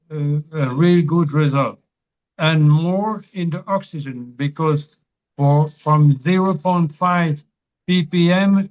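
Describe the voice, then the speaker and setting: A man with a French accent, a non-native English speaker, giving hesitant remarks on a video call with many pauses and fillers. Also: a little high, dull audio. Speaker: meeting participant.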